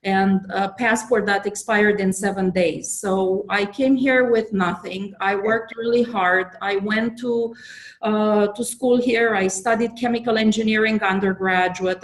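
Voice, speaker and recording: Earnest Romanian-born woman in an echoey room. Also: mid-range voice. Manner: earnest, reflective storytelling. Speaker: guest, biotech founder. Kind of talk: interview, personal story.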